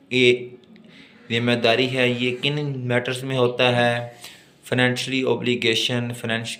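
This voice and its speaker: A man with a Pakistani accent giving an explanatory law lecture, reading out and explaining in a deliberate, instructive way. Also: medium pitch, a bit bright.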